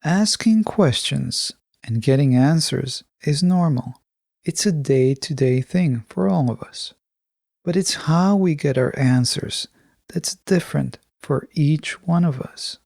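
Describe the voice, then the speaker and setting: A man hosting a solo motivational podcast, speaking in a measured, reflective way with deliberate phrasing. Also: a little high, gravelly, darkish tone, no room sound, studio quality. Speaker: podcast host.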